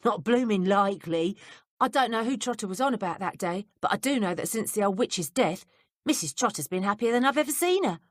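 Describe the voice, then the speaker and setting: Woman reads sly young manservant, gossipy and blunt, animated delivery. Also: mid-range voice, fairly quick, no echo, studio quality, voice pushed up, lightly acted. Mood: gossiping. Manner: blunt working-class speech.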